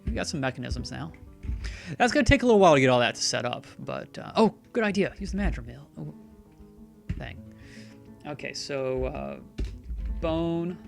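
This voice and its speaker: Gravelly-voiced man rambling over a game. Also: fairly high pitch, expressive, normal speed, pauses often, faint music behind. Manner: chatty, sarcastic, talks back to the game's characters. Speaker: streamer playing a game. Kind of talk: rambling live-stream commentary.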